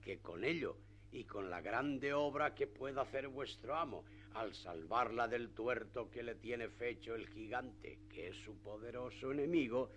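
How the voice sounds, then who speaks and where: A man's voice: medium pitch, a little slow, few pauses, a bit bright, slight background noise, natural voice. An actor playing a scheming, confident village priest in a radio play, laying out his plan with assured manner. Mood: pleased scheming.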